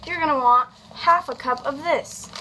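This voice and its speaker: A young adult woman giving a casual, comedic cooking tutorial in a home kitchen, with playful asides and drawn-out words. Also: high-pitched, sharp tone, rough recording. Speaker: host of a home cooking video. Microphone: camera on counter at about arm's length. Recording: background kitchen noise, clicks.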